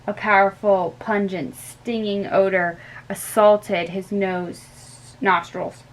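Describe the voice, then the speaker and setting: A young woman reading a storybook aloud in a slow, careful way with small stumbles, close to a webcam in a bedroom. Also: mid-range voice, steady tone, low-quality recording. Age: young adult. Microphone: webcam at close range.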